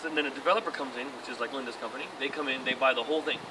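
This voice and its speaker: A man's voice: medium pitch, steady tone, very fast. A man touring a property, explaining in a rambling way how condo conversions work, often restarting his words. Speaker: real estate agent explaining condo conversions. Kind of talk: casual explanation on a property tour. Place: apartment complex outdoors, then a condo unit. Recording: distant voice with background noise.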